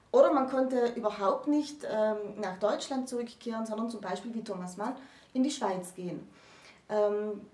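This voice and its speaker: A woman's voice: fairly high pitch, a little mellow. A woman in her thirties hosting a conversational educational discussion, explaining articulately and posing questions. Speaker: host presenting a literature discussion. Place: small book-lined room, indoors. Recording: roomy sound, distant microphone.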